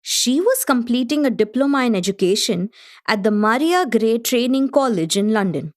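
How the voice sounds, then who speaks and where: A woman's voice: a little high, lively intonation, normal speed, lightly acted. An actress playing a learned Indian woman who hosts a literary gathering, narrating formally and with poise in a radio play. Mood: poised, informative. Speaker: host.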